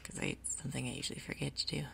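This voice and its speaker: A woman gaming host giving soft, whispery ASMR commentary, casually thinking aloud in an unhurried way. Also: rumbling low, little pitch movement, a little hiss or hum. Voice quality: soft, whispery, breathy undertone. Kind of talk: soft-spoken ASMR Minecraft gameplay commentary.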